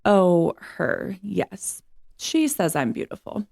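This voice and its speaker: A woman's voice: fairly low pitch, very animated, takes it slowly, vocal fry, darkish tone, full character voice. A woman voicing a vain, self-absorbed female show-dog diva in a storybook, with a preening manner.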